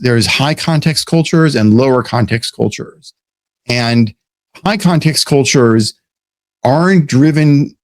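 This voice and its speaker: A man's voice: mid-range voice, dry recording. A man from the United States, a guest in an interview, explaining ideas thoughtfully and often restarting his sentences. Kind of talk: casual interview talk.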